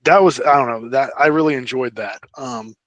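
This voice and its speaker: A man's voice: mid-range voice, fairly quick, fairly bright tone, no echo, dull audio. A man co-hosting a podcast in casual fan discussion, speaking hesitantly and often trailing off between thoughts. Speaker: podcast co-host.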